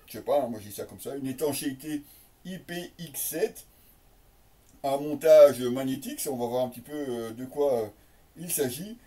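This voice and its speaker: Picked up a little way from the microphone, a man presents gear in an unscripted way. His voice is mellow and medium in pitch. He builds his sentences bit by bit, often stretching out a hesitation before the next phrase. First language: French. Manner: hesitant, piecemeal phrasing with frequent drawn-out euhs. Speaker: motorcycle gear reviewer. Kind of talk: unboxing presentation, casual unscripted commentary. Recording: slightly distant microphone.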